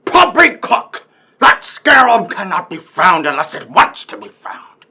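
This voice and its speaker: An actor playing a hard-of-hearing, short-tempered old colonel in a radio play, gravelly and blustering. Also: male voice, high voice, sing-song, a little slow, sharp tone, heavily acted. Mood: ominous, indignant. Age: older. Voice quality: gravelly, rough. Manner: blustering, stammering, old-fashioned.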